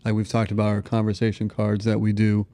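A man's voice: on the low side, completely flat delivery, a little fast, dark tone. An American man in his thirties talking casually and earnestly as a parent, often dropping in "you know". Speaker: parent sharing family testimony. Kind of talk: casual conversational testimony.

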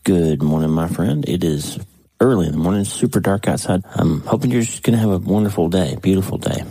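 A man speaks in a dark, very deep voice that sounds husky and congested. He talks conversationally, like a host chatting to a friend in a devotional podcast. The sound is dry and close. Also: brisk, no echo. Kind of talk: casual devotional talk.

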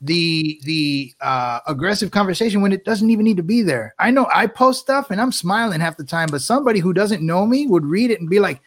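A man in a casual group chat, thinking out loud and laughing often. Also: high-pitched, expressive, fairly quick, few pauses.

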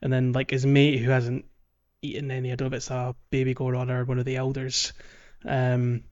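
A man co-hosting a casual gaming podcast, talking in a halting way with thoughts that trail off. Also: mid-range voice, monotone, darkish tone, clean tone, highs cut off. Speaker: podcast co-host.

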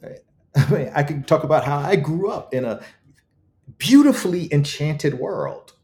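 A man's voice: fairly high pitch, animated, a little slow, a little mellow. A man speaking as an interview guest, reflective and eloquent, with emphatic, preacherly storytelling and rhetorical repetition. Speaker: guest author.

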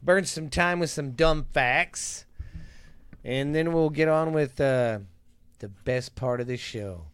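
A man's voice: on the high side, slow, fairly bright tone, smooth, no room sound. Brief: male podcast co-host, crude joking banter, close dry sound. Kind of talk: casual podcast chat.

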